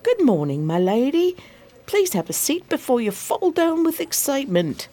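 Mellow, animated loyal police assistant. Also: female voice, sits high, moderate pace. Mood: deferential, curious. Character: loyal, deferential female police assistant telling the story. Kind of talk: radio drama narration and dialogue. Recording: faint background noise.

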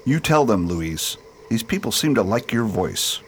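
Expedition chief, level-headed, decisive, lowish voice, animated intonation, sci-fi radio drama. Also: male voice, unhurried, a little hiss or hum. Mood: measured. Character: level-headed male civilian leader of space expedition.

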